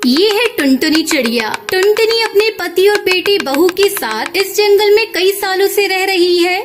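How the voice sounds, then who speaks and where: A woman narrating a scripted cartoon story in a storybook style. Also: extremely high pitch.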